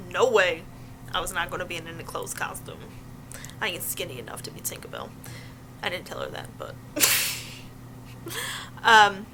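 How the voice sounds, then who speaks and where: Bright-voiced young woman, chatty vlogger. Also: medium pitch, a little hiss or hum, poor audio. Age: young adult. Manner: informal and animated, slangy asides, acts out quoted questions. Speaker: vlogger giving application advice. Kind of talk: casual chatty vlog to viewers.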